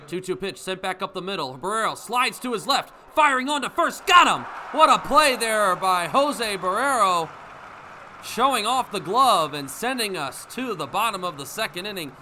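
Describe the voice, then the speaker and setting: High, bright-voiced young American baseball announcer man. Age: young adult. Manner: energetic, vivid running description. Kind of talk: live radio sports commentary. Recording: live broadcast with ballpark background noise. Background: stadium ambience.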